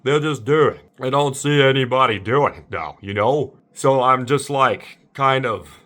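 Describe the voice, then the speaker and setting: A man's voice: measured pace, a bit bright. Rambling, bewildered man chatting casually. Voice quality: slightly deep voice. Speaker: amateur voice impressionist. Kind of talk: casual rambling monologue to viewers.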